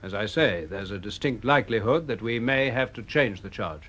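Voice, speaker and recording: An actor playing a formal, authoritative police superintendent in an audio drama, speaking deliberately. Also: male voice, fairly low pitch, expressive, fairly bright tone. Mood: official, composed.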